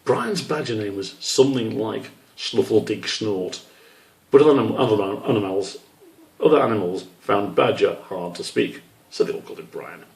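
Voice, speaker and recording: A man narrates a children's story in a low voice with a gravelly edge. He reads as the author of the book, recorded at some distance from the microphone. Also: moderate pace, darkish tone. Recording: roomy echo, distant microphone.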